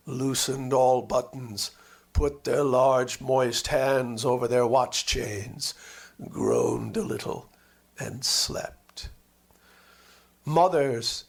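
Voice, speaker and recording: A man reading a literary memoir aloud as a dramatic storyteller, with measured, deliberate and expressive phrasing. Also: mid-range voice, vocal fry.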